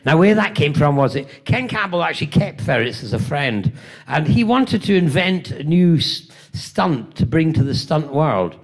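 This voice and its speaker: Gravelly older British man telling stories. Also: on the high side, big pitch swings, normal speed. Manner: rambling anecdotal, playful, self-mocking asides. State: coughing, tickle in throat. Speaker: convention guest actor. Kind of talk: convention Q&A storytelling. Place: convention hall stage.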